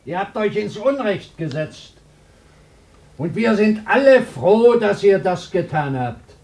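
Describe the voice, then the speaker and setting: High-pitched, cold, officious mayor. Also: male voice, lively intonation, slow, a little mellow, exaggerated voice. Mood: cold, stern. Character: cold, officious town mayor turning away old friends. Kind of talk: German radio play acting.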